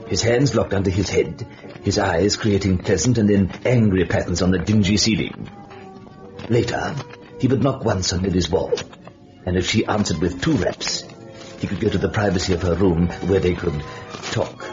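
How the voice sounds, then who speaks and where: An actor playing a sinister, gloating devil-like storyteller, narrating a radio horror drama theatrically. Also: male voice, sits low, moderate pace, close to an everyday voice. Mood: sinister, amused. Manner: sardonic, gloating. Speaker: narrator of the show. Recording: muffled old radio recording with music.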